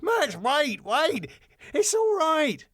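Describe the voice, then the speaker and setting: A man's voice: very high voice, huge pitch swings, very slow, fairly bright tone, no echo, pitched above the performer's own voice, exaggerated voice. A man voicing a cheeky, glib music promoter who wisecracks and placates with a flippant manner. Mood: alarmed.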